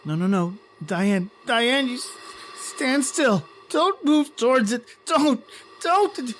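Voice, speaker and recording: A woman acting a frightened, protective character in old-time radio horror style, halting and stammering while pleading in horror. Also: a little high, lively intonation, faint music behind. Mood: desperate, pleading. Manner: halting, stammering, repeats words.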